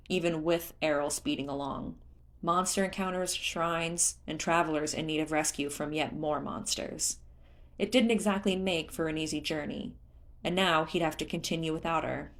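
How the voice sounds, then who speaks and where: Casting: female audiobook narrator, slightly low voice, reading scripted fantasy fiction.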